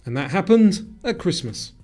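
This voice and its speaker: Casting: male quiz reader, slightly high but very mellow, scripted delivery, faint music underneath. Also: sing-song, moderate pace.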